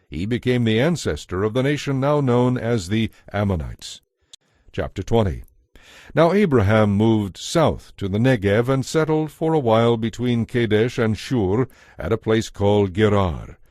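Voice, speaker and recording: A man narrating a dramatized audio Bible as scripted, expressive, measured storytelling. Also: fairly low pitch, dry recording, studio quality. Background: faint music.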